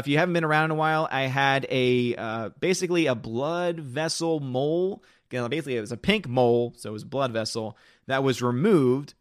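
A man live-streaming, reading chat comments aloud and rambling in casual talk, slightly hoarse from losing his voice. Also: a little high, a bit bright, no echo, clean studio sound.

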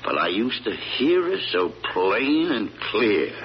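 A man's voice: on the low side, big pitch swings, takes it slowly, soft background music. An actor playing an older, stoic, folksy country father with a rural American accent, in an old-time radio drama. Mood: brooding, resolute. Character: weathered stoic rural hound-loving father, convicted of killing. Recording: dull, noisy old radio recording.

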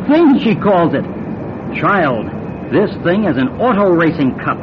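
Cocky flirtatious man, highish voice, animated, teasing, radio play, dull recording. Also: a little slow. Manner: breezy, teasing. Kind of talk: radio drama acting. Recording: dull, faintly noisy old radio recording.

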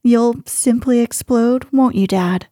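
A woman's voice: a little high, takes it slowly, warm tone, no room sound, clean studio sound, pitched above the performer's own voice. Woman reading as a cheeky teenage girl sleuth. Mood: playful. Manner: playful and teasing.

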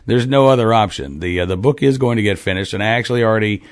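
A man's voice: lowish voice, quick pace, clean tone. A man in his forties hosting his own podcast, talking casually with lots of fillers and restarts. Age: 40s. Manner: rambling, frequent fillers and restarts. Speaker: podcast host. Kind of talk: casual monologue. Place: farm.

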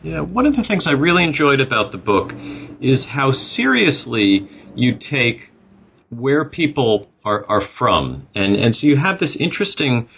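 A man's voice: mid-range voice, very animated, measured pace, creaky, slightly rough, highs cut off. A man hosting an interview podcast, speaking in a polite, conversational way.

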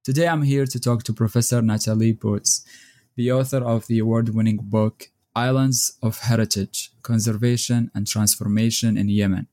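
Brief: male podcast host, deep and mellow, reads his scripted intro formally. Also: steady tone. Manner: formal, measured reading. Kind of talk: scripted introduction and interview questions.

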